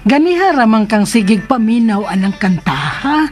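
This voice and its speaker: An actress playing a doting middle-aged mother in a radio drama, chatty and gently chiding. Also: a little high, sing-song, normal speed, soft background music. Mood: fond, chiding.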